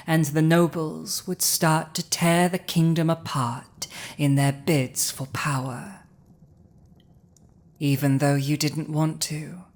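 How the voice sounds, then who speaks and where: A woman voicing a commanding villainous female dragon in a dramatic audio roleplay, speaking deliberately with weighty pauses. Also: low-pitched, darkish tone. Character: villainous commanding female dragon, intense yet softening to compassion.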